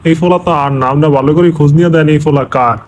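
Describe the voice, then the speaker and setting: A man's voice: on the high side, racing, slight background noise, lightly acted. An actor voicing a panicky, self-pitying corrupt official and father in a comic cartoon, speaking in a regional Bangladeshi dialect. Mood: indignant denial.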